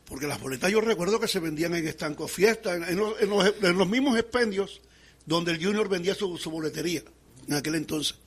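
Middle aged colombian guy from the coast, caribbean accent, kinda high raspy voice, chatting about old times. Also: animated, brisk. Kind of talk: conversational reminiscing about football.

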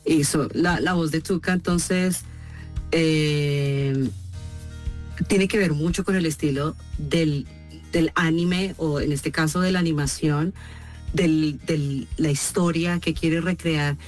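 A Colombian woman, a voice actress, answering interview questions in an expressive storytelling way. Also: low-pitched, slow, faint music behind. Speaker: voice actress guest.

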